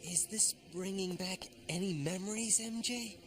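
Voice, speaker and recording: An actor playing an earnest, protective young hero in an animated series, with a concerned manner. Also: male voice, sits high, moderate pace. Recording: music under the voice.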